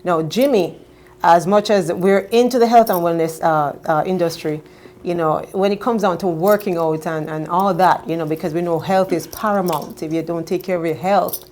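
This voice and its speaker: A woman's voice: lowish voice, moderate pace, darkish tone, a little hiss or hum. A woman health coach introducing a guest in an upbeat casual chat, restarting often.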